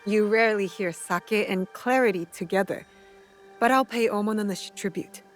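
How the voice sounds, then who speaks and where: A woman's voice: mid-range voice, moderate pace, natural voice. An actress playing a resolute, wary female rebel with a dry, no-nonsense manner in a video game cutscene. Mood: measured, curious. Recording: music behind the voice. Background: music.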